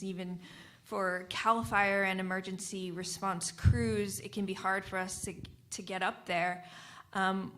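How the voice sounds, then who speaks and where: A woman's voice: mid-range voice, a bit bright. A woman speaking informatively and off the cuff as a community radio panelist in a group discussion. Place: live panel discussion at a venue.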